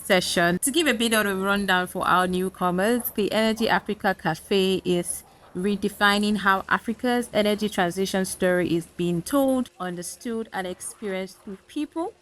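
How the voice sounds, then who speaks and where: A woman's voice: mid-range voice, normal speed, fairly bright tone. A woman with a Nigerian accent hosts a podcast interview, talking in a chatty and upbeat way. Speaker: podcast host.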